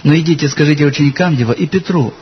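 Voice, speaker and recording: Earnest preacher guy giving a sermon, slightly high voice, muffled lo-fi tape with light hiss. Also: racing. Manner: earnest, addresses listeners as brothers and sisters. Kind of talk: sermon with anecdotes and scripture reading. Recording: dull lo-fi recording with faint hiss.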